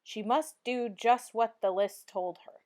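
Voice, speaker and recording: A woman reading a children's storybook aloud in an expressive, clearly phrased narration. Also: a little high, slow. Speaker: storybook reader.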